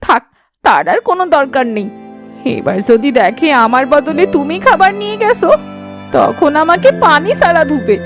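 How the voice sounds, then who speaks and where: A woman's voice: sits high, very animated, moderate pace, music in the background. A woman voicing a bossy, exasperated young wife in a cartoon, whiny, melodramatic and scolding. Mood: irritated nagging. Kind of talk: cartoon family drama acting.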